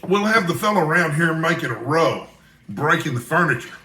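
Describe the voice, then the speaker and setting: A blunt, bookish bacteriologist, as acted by a male reader in a storybook reading. He is wry and opinionated, with a bright, slightly high voice for a man. The room rings noticeably around him. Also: expressive, fairly quick, echoey room. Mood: wary. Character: Tarp Henry, blunt, detached, gossipy bacteriologist and newspaperman. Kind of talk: audiobook character dialogue.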